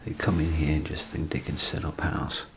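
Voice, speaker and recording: A man doing a soft-spoken, half-whispered ASMR role play as a chatty, grumbling bathroom attendant, rambling and hesitant in a folksy way. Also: extremely low pitch, fairly even intonation, normal speed, slight background noise. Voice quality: gravelly, breathy half-whisper. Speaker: ASMR performer.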